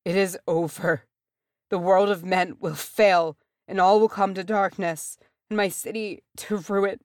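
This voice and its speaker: A woman voicing a dying warrior man in a storybook, anguished and ashamed, with a strained, broken, breathy voice that halts and pleads. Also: mid-range voice, fairly even intonation, no room sound, clean studio sound, lightly acted. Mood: bitter despair. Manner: halting, pleading.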